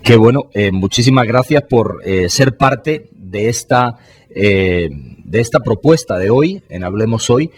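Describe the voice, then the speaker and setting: A man hosting a live radio talk show, greeting listeners formally and pausing often with "eh". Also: mid-range voice, animated, breathy edge. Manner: warm formal greetings with frequent "eh" fillers. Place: radio studio.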